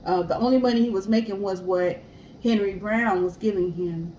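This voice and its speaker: A woman's voice: mid-range voice, moderate pace, dark tone. A woman co-hosting a casual story show, explaining history and speaking directly to listeners. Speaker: podcast co-host and storyteller. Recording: roomy echo, clear background hiss.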